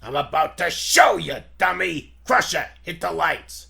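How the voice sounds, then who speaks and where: A man playing a gruff, bullying, impatient gangster boss in 1940s radio style, with a gravelly voice and slangy, insulting tough talk. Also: on the high side, expressive, measured pace, bright, forceful tone, heavily acted. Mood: gloating.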